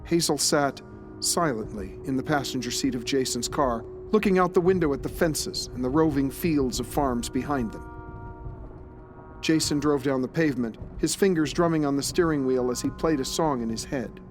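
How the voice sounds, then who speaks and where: A man's voice: mid-range voice, normal speed, soft background music. A man reading scripted narration for an audio drama, as its narrator.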